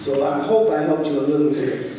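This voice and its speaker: A man in his seventies on a panel, reminiscing in a plain, gentle way. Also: a little high, a little fast, noisy background. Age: 70s. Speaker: panelist, tap dancer. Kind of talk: storytelling on a panel. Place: auditorium stage before an audience. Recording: echoey hall from far off.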